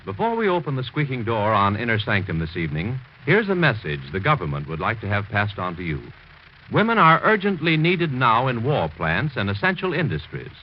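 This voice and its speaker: An American man delivers scripted announcements in classic 1940s radio style. His medium-pitched voice is lively, formal and emphatic. The old broadcast sounds dull, with faint noise. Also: a little fast.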